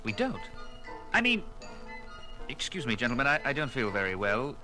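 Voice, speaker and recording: A man's voice: mid-range voice, huge pitch swings, normal speed, music in the background. A man playing a pompous, condescending alien ambassador in a science fiction audio drama, with a formal manner. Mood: flustered.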